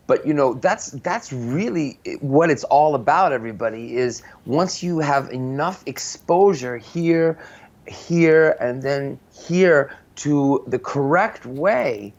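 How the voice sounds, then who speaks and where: A man's voice: medium pitch, gravelly, dull audio. A man co-hosting a live English-teaching show, explaining things in an encouraging, emphatic way.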